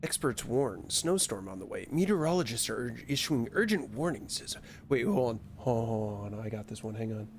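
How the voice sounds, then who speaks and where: A man's voice: medium pitch, animated, normal speed, slight background noise. A man casually commentating on a game and reading text aloud, with a wry, mildly profane manner. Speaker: streamer playing a horror game.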